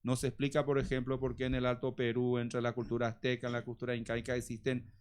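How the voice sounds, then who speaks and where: A man's voice: medium pitch, completely flat delivery, quick pace, smooth. A man with a Paraguayan Spanish accent, talking as a guest on a talk show, emphatic and repetitive, slipping into Guarani phrases. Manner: emphatic, repeats words, mixes in Guarani. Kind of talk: casual talk show conversation.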